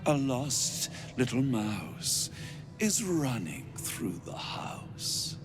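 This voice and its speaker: An actor playing a seductive, cruel and playful incubus in a video game, with theatrical, teasing delivery. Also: male voice, medium pitch, huge pitch swings, very slow, music in the background, heavily acted. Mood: sly menace. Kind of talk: video game character acting.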